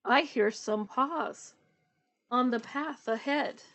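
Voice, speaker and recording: A woman's voice: high-pitched, slow pace, a bit bright, exaggerated voice. A woman voicing a big, gullible storybook monster who draws out words ponderously. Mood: amazed.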